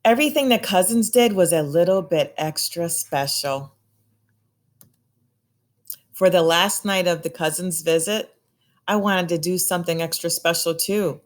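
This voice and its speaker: Female storybook reader, low register, expressive read-aloud for young children. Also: measured pace, long pauses.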